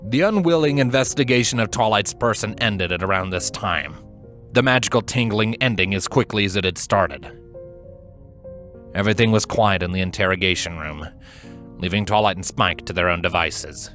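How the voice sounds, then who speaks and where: A man's voice: lowish voice, fairly bright tone. A man reading scripted fan fiction as a storybook narrator. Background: background music.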